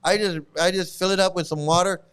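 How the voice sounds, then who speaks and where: Reaction host, male, somewhat high and smooth voice, laughs a lot and circles back on his own words. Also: fairly even intonation, fast, a bit bright. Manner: laughs often, repeats phrases, stammering restarts. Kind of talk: casual reaction commentary.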